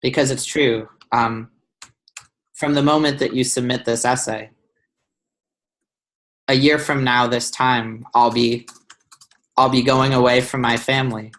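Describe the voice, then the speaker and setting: A young man coaches a student through her essay on a video call. He talks at an ordinary middle pitch, pausing with ums and restarting sentences as he thinks. The sound comes through a webcam mic in a small room. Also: little pitch movement, a bit bright. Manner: thoughtful, many ums and restarts. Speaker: writing tutor. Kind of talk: one-on-one essay feedback over video call.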